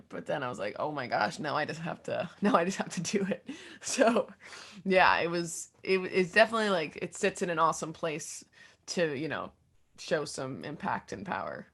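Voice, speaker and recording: A young woman with a fairly low voice speaks as an interviewed singer-songwriter. She is candid and informal, working out her answers in fragments and often acting out her own thoughts as quotes. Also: a bit bright. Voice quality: low, deep voice. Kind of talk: casual interview chat.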